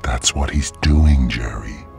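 An actor playing a menacing virtual guide from a game, commanding and ominous, with a gravelly voice. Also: male voice, takes it slowly, exaggerated voice. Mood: cold, commanding. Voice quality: gravelly, very deep. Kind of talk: audio drama acting. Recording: loud music under the voice.

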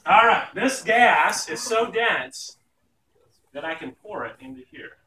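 A man's voice: sits high, lively intonation, measured pace, sharp tone. A middle-aged man teaching as a chemistry professor, giving a playful live demonstration to a class in a lecture hall. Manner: projecting to a class, playful showmanship. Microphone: far camera in the audience. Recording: distant, echoey, noisy phone-style room recording.

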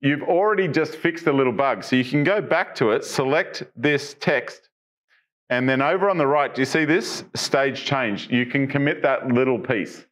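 A man's voice: medium pitch, moderate pace, fairly bright tone. A middle-aged man walks through developer tool news on a studio stage, speaking into a headset mic. He keeps it chatty and loose, tossing questions to his viewers and stumbling over restarts and ums as he goes. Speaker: tech news presenter. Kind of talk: casual tech walkthrough. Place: studio stage with dark backdrop.

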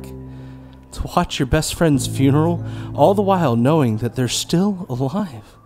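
Young man played by a male actor, medium pitch, earnest and uneasy, audio drama over music. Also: close to an everyday voice. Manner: earnest, hesitant. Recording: music behind.

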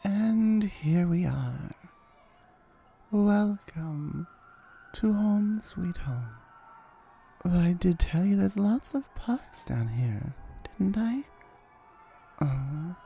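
A woman voicing a confident, flirtatious female hellhound in a roleplay ASMR audio drama, sultry and suggestive, lingering on words with breathy whispers. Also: on the low side, dark tone, heavily acted.

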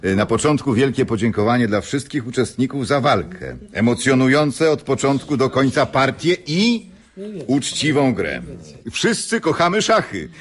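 Actor as a lively, ceremonial male announcer. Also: medium pitch, normal speed, close to an everyday voice. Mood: ceremonial, genial. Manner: ceremonial, speechifying, slightly hesitant. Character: genial, earnest chess tournament announcer, a man. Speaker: master of ceremonies. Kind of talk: Polish radio drama acting.

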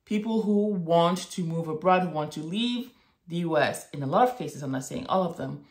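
A woman's voice: fairly low pitch, normal speed, darkish tone. A woman in her thirties from Morocco, talking thoughtfully to camera in a home office, often checking in with "right?" and "okay?". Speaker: vlogger. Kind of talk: reflective casual monologue to camera. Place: home office with bookshelves, indoors. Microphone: webcam at desk distance. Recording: echoey room.

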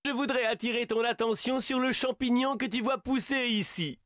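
A man's voice: very high voice, moderate pace, fairly bright tone, no room sound, studio quality. An actor voicing a fussy, theatrical male animal who hands out tasks in a game, with a grandiose, condescending manner. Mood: lofty. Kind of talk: video game dubbing.

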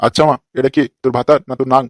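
A man's voice: medium pitch, little pitch movement, racing, dry recording, close to an everyday voice. A man voicing a crude, lecherous fake holy man in a comic cartoon, with a brash, scolding manner.

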